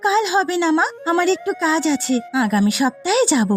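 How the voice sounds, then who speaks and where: Cartoon role: very high-voiced grown daughter played by a woman, a grateful, earnest police officer, faint music behind. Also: sing-song, normal speed. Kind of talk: cartoon fairy-tale voice acting.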